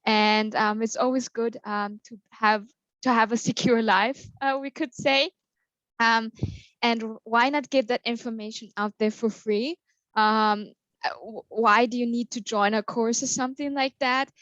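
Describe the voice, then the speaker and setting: A woman's voice: on the high side, steady tone, unhurried, fairly bright tone, no echo. A young woman from Austria, a guest on an interview, talking fluently and upbeat with many fillers. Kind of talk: casual interview. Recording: slightly dull, lo-fi call audio.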